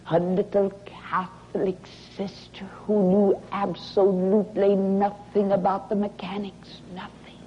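Slow, dramatic woman preacher, dull noisy recording. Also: mid-range voice. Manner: dramatic, emphatic, drawn-out words with breathy whispers and emphatic repetition. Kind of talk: revivalist sermon with testimony. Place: sanctuary, preaching to a congregation.

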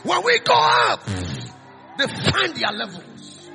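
A man with a Ghanaian accent preaching a sermon, calling out lines for the congregation to repeat, dropping low and then rising to shouts. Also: wildly swooping pitch, takes it slowly, gravelly, soft background music. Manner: fiery call-and-response preaching, swings from hushed to shouting.

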